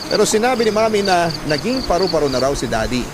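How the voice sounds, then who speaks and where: A man's voice: sits high, very animated, quick pace, noisy background. A man giving earnest, dramatic scripted narration of a personal story. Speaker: narrator reading a letter sender's love story. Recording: loud music under the voice. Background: loud music.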